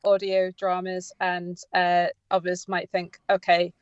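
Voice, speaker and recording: A woman's voice: on the low side, monotone, a little slow, smooth, no echo, muffled recording. A woman giving informal, unscripted radio interview answers as a drama writer, hesitant and often correcting herself as she goes. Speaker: audio drama writer and producer, interview guest.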